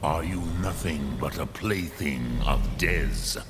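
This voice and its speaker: A man voicing an ancient, wise male dragon in a fantasy video game, speaking patiently and deliberately with a weighty, gravelly voice and philosophical manner. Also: very deep voice, noisy background. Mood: pensive, probing. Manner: slow, deliberate, ponderous, pausing between phrases.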